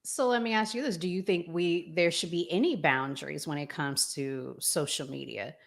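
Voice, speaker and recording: A woman hosting a casual discussion in a measured, thoughtful, halting way. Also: fairly low pitch, creaky.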